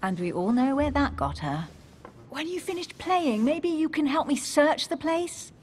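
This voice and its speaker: A woman's voice: high-pitched, lively intonation, measured pace, slight background noise. A woman acting a cool, skeptical, learned young aristocrat in a video game cutscene, with a dry, nonchalant, sardonic delivery. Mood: teasing, aloof.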